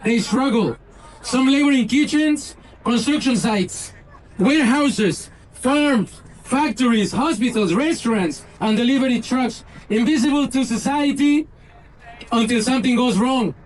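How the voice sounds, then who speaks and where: A man's voice: very high voice, a little hiss or hum, poor audio. A man giving an impassioned rally speech outdoors, building rhetorical lists and slogans. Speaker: rally speaker. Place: outdoor rally in a city square.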